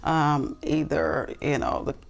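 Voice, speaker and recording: A woman's voice: low voice, expressive, takes it slowly, gravelly. A woman talking earnestly and reflectively as a podcast guest, with frequent ums and restarts. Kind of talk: casual interview talk.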